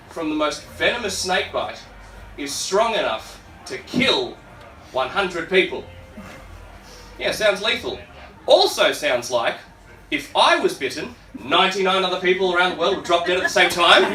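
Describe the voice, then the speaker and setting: A man from Australia with an Australian accent doing stand-up comedy to an audience, informal and sweary, chatting with the crowd in asides. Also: high-pitched. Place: live comedy venue with an audience. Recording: echoey, noisy room, distant microphone.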